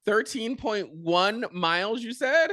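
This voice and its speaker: A woman's voice: medium pitch, lively intonation, takes it slowly, fairly bright tone, dry recording, clean studio sound. A woman radio host chatting playfully with a guest over a video call, asking upbeat, joking questions. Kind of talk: casual video-call interview.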